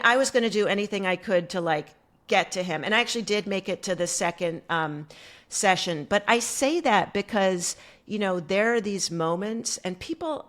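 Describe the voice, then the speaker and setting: A middle-aged American woman telling a story as a guest on an interview show, animated and full of fillers. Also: medium pitch. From United States. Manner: animated, many fillers like "like" and "you know". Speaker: guest coach.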